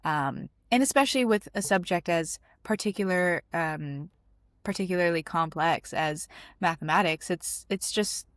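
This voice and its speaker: A woman's voice: deep voice, dry recording, clean studio sound. A twenty-year-old woman from Dubai, co-hosting a podcast in earnest, thoughtful chat. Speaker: podcast co-host.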